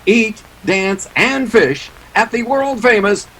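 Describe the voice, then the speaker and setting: Man as high-pitched, lively 1940s radio announcer. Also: takes it slowly, very noisy, exaggerated voice. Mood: upbeat, selling. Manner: showy, salesman-like delivery. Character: polished, showy male 1940s radio announcer.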